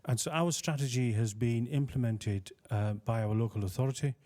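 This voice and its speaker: A man on a research panel, explaining his work in a relaxed way with many fillers. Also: mid-range voice, moderate pace. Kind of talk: panel discussion answer.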